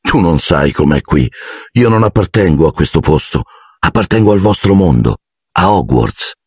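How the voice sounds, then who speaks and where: A man's voice: low-pitched, animated, normal speed, a little mellow, breathy edge, no echo, studio quality. Man as an earnest, bewildered young boy wizard. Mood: dismayed.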